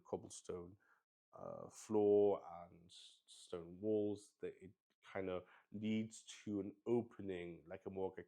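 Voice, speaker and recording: A man hosting a solo tabletop roleplay game, improvising narration and thinking aloud with hesitant pauses. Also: low voice, warm tone.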